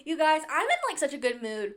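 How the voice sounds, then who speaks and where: A woman's voice: high voice, sing-song, a little fast, fairly bright tone. A young woman hosting her podcast in casual chat, bubbly and chatty, often saying "like," and a little under the weather with allergies. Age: young adult. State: slightly sick with allergies. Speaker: podcast host.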